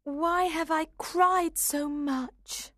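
A man voicing a curious, fretful young girl in a high, wondering tone for a storybook. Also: steady tone, very slow, pushed and bright, no echo, clean studio sound, heavily acted.

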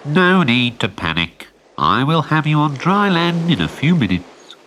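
A kindly tuna from a storybook, reassuring and calm, with a slightly raised, lively voice, as a man puts him on. Faint noise sits behind him. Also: fairly high pitch, a little slow, voice pushed up. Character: kindly helpful tuna fish.

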